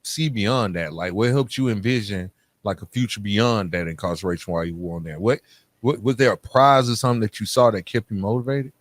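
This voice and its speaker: A man's voice: on the low side, a little fast, dry recording. A man hosting a podcast interview in a casual way, with short affirmations and conversational questions. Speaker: podcast host.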